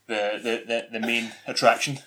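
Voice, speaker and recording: A man's voice: medium pitch, fairly even intonation, normal speed, background noise. A young Scottish man co-hosting a podcast, rambling in casual, sweary, jokey chat. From Scotland. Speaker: podcast co-host.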